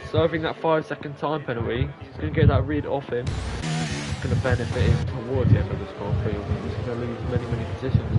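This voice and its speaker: A man's voice: medium pitch, rough recording. A man giving casual, rambling commentary on an online racing league, talking straight to his listeners and losing his voice. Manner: rambling, talks straight to the listeners, excited at big moments. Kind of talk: casual live race commentary. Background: loud music and car engines.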